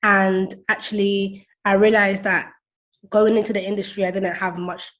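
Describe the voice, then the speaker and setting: A young woman in her twenties answers interview questions on a video call. Her voice is medium in pitch with very little melodic movement. She explains things as a founder being interviewed. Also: flat delivery, normal speed. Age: 20s. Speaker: interview guest, platform founder.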